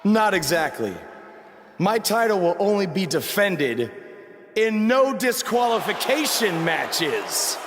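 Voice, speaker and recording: Lively, earnest young champion wrestler. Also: male voice, high-pitched, slow, noisy background, heavily acted. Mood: defiant. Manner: casual, conversational. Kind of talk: video game cutscene acting.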